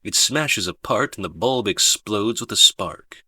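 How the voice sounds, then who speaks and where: Slow, low-voiced male audiobook narrator. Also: expressive, pushed and bright, breathy edge, no room sound, studio quality. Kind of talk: first-person scripted narration.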